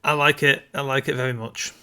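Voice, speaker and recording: Bright-voiced man, casual co-host. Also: medium pitch, fairly even intonation, a little fast, clear, resonant tone. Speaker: podcast co-host. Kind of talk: casual banter about films and games.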